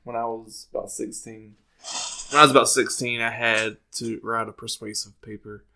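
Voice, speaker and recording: A man co-hosting a podcast in casual comedic banter, in a halting way that often repeats words. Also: mid-range voice, level tone, creaky, bright, forceful tone, poor audio. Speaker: podcast co-host.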